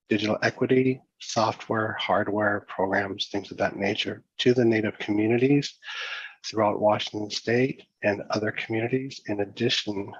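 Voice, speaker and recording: Low, husky man on a dull video call. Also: dry recording. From northern British Columbia. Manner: opens with a greeting in his native language, measured. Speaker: program manager and trainer. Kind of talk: panel introduction on a video call. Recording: dull lo-fi sound, dry.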